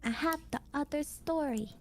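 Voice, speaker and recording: A woman's voice: high-pitched, moderate pace. A young woman chatting playfully on a livestream, cutesy and bubbly, often repeating words. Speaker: VTuber co-host.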